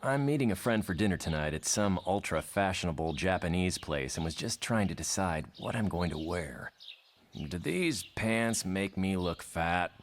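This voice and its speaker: A man's voice: low voice, lively intonation, moderate pace, slight background noise. A man playing a vain, self-conscious male mutant in a motion comic, speaking in a fussy way.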